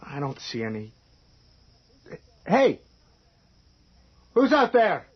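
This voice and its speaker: Give me an irritable, overbearing writer husband in a radio mystery, husky and agitated. His pitch jumps around as he grows alarmed, all on a dull old recording. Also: huge pitch swings, slow pace, dry recording, full character voice. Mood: alarmed, commanding. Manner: agitated, hesitant, exclaiming. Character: tense, irritable male novelist, overbearing husband growing alarmed. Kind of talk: old-time radio drama acting.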